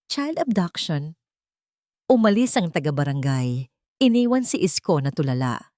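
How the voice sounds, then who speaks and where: A woman's voice: low voice, very animated, normal speed, warm tone, dry recording, studio quality. A woman narrating a scripted dramatic story as an audiobook-style storyteller. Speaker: narrator of romantic drama stories.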